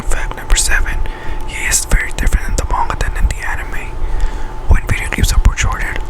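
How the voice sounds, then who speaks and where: A man speaks entirely in a breathy whisper, as in an ASMR video. He reads out a list of facts haltingly, tripping on words and doubling back to repeat them. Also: low-quality recording. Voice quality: breathy unvoiced whisper throughout. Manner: halting delivery, stumbles and repeats words while reading. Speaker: ASMR video creator and host.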